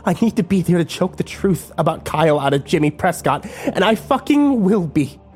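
A man's voice: sits high, big pitch swings, normal speed, soft background music, voice pushed up. A man voicing a screaming, furious young woman. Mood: bitter. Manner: shouting, bitter and accusing.

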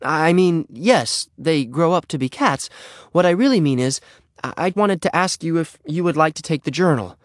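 A man's voice: on the high side, lively intonation, normal speed, dry recording, studio quality, pitched above the performer's own voice, close to an everyday voice. A man voicing an earnest, eager young schoolboy trying to sound businesslike in a storybook reading. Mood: flustered. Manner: hesitant, flustered salesmanship.

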